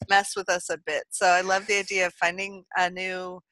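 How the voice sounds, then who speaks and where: A woman's voice: fairly low pitch, level tone, normal speed, pushed and bright, dry recording, rough recording. A woman hosting an interview show, chatting in an enthusiastic way with many fillers. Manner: enthusiastic, lots of fillers like "like" and "you know". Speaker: podcast host. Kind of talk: upbeat interview chat.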